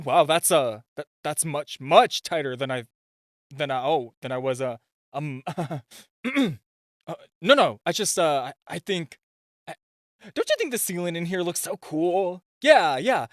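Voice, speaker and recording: A man's voice: a little high, dry recording, studio quality. A man playing a super-shy spy gadget guy in a romantic comedy audio roleplay, nervous and stammering, easily flustered. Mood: flustered, babbling. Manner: nervous, stammering, halting, self-interrupting.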